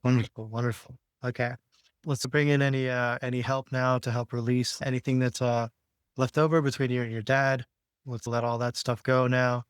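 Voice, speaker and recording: A man working as a healing practitioner, guiding a client with questions and affirmations in a halting, checking-back way. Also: mid-range voice, little pitch movement, smooth, no room sound, studio quality. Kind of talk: guided session, gentle questioning.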